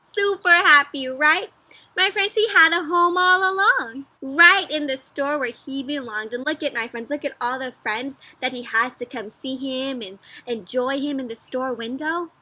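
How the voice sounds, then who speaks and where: Bright, high-voiced woman reading to kids. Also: unhurried. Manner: expressive, encouraging, asks frequent questions to young listeners. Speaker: children's storybook read-aloud host and teacher. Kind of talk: interactive read-aloud for young children.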